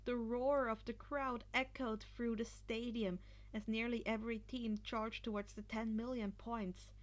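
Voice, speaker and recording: A woman's voice: on the high side, flat delivery, measured pace. A woman narrating fan fiction as an amateur reader, sometimes stumbling and rereading words.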